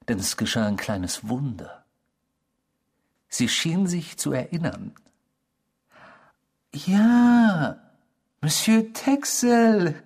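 Husky, eerie obsessive storyteller. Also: male voice, fairly high pitch, expressive, very slow, pauses often, darkish tone, full character voice. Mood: amused, lively. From the Netherlands (character). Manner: theatrical, savouring, unrepentant, mimicking the people quoted. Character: eerie, obsessive male stranger confessing a past crime. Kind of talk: radio drama dialogue, first-person storytelling.

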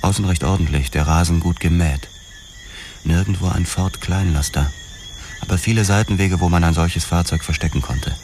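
An actor playing a police detective who narrates a grim crime radio drama in a sombre, deliberate, terse way. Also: male voice, low voice, background noise. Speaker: first-person narrator.

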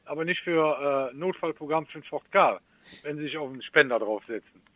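Male service-line operator, high bright voice, dry close sound, wry teasing delivery. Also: brisk, dry recording, rough recording. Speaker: car company phone operator. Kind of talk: phone service talk.

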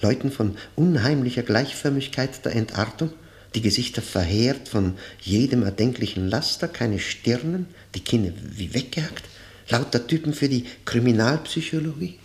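An actor in a German radio play playing an embittered life prisoner, brooding and haunted, with a gravelly voice and deliberate, weighty delivery. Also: male voice, medium pitch, lively intonation, close to an everyday voice. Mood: grave recollection. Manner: deliberate, halting, repeating words with grim weight. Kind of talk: German radio play acting, dramatic monologue.

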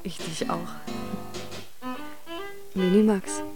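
A woman's voice: fairly low pitch, steady tone, very slow, vocal fry, music in the background, full character voice. An actress playing a cheerful, affectionate young dwarf woman, the smith's girlish daughter, in a children's radio play. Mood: tender. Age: young adult.

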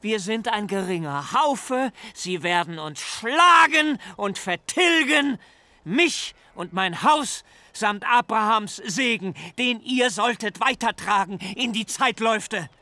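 An actor playing a wrathful, grieving old patriarch who curses with anguished outcries in an audiobook drama. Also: male voice, medium pitch, expressive, extremely slow, creaky, no echo, full character voice. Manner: furious cursing, rising to anguished outcries.

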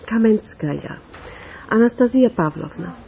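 A woman's voice: medium pitch, lively intonation, unhurried, gravelly, dark tone, slight background noise. An actress playing a dryly witty woman police investigator of about forty in a German radio crime drama, composed and ironic.

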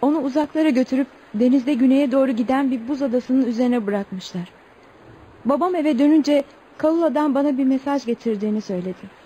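A woman's voice: high-pitched, fairly quick, dark tone, husky, muffled recording. An actress playing a sorrowful young Eskimo woman in a radio play, with plaintive, emotive delivery. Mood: sorrowful recounting. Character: sorrowful twenty-year-old Eskimo woman, pleading and recounting lost love. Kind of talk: radio theatre acting.

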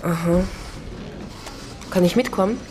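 A woman's voice: fairly low pitch, unhurried, darkish tone, natural voice. A woman acting an eager junior newspaper journalist with a hesitant, questioning manner in a naturalistic German radio drama. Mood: puzzled, eager. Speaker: actor.

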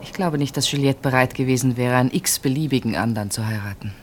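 A woman's voice: very deep voice, fast, a little hiss or hum. An actress playing a reserved, anxious woman in a radio play, speaking in restrained, thoughtful replies. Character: reserved, worried woman, the husband's sister-in-law Marianne. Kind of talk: radio play acting, intimate dialogue.

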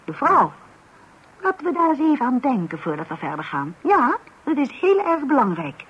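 An actress playing an assertive, chatty wife in a radio play, with a husky voice. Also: female voice, sits high, huge pitch swings, normal speed, fairly bright tone, heavily acted. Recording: dull old radio recording.

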